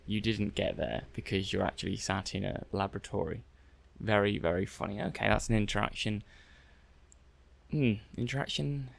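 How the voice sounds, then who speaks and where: A man playing a curious, methodical robot-testing technician in a soft-spoken ASMR roleplay, musing hesitantly as he thinks aloud. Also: fairly low pitch, vocal fry. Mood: amused. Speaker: roleplay performer.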